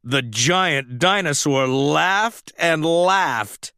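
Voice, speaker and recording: A man narrating a scripted children's story in a dramatic, expressive, deliberate way. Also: on the high side, clean tone, no room sound, clean studio sound.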